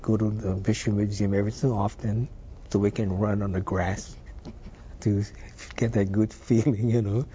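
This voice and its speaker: An older man raised in Hawaii, telling stories about his past in an unhurried, thoughtful way, with some fillers. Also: fairly low pitch, little pitch movement, mellow, lo-fi sound, slight background noise. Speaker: interview guest. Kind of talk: reminiscing interview.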